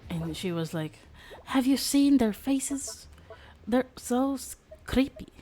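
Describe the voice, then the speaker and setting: A woman's voice: high voice, animated, slow pace, dark tone, a little hiss or hum. A woman chatting casually on a livestream as she plays a game, with a playful, giggly manner. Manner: giggly, playful, prone to breaking into laughter. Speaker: streamer playing a game and chatting with viewers. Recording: game music faintly behind the voice. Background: game music.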